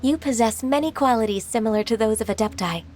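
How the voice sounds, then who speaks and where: A woman voicing a gentle, dutiful young half-immortal woman in a video game, speaking in a measured, polite and earnest way. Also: fairly high pitch, close to an everyday voice. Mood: calm, earnest. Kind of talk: English video game voice acting. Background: game music.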